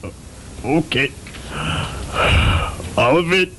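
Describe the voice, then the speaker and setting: A man's voice: on the high side, lively intonation, takes it slowly, fairly bright tone, a little hiss or hum. A man playing a whiny, spiteful, easily cowed junior police officer in an amateur comedy radio drama. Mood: reluctant, cowed.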